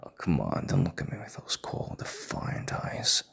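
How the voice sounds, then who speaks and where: A man playing a proud, stubborn king in an intimate audio drama, commanding, with breathy, gravelly half-whispers. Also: low voice, wildly swooping pitch, unhurried, a bit bright, heavily acted. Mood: scornful, defensive. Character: proud, stubborn, commanding young king, bitter rival husband.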